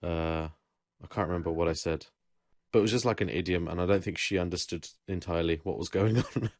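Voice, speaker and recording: Young English guy, deep voice, laid-back rambling storyteller, dry mic. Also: fairly quick, no echo. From England. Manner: relaxed, rambling, with self-corrections. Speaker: podcast host. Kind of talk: casual chat.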